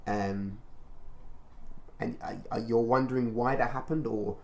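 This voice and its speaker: A man talking informally as a mentor, explaining things in a measured, reflective and encouraging way. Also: mid-range voice, steady tone. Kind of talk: informal testimonial conversation with a child.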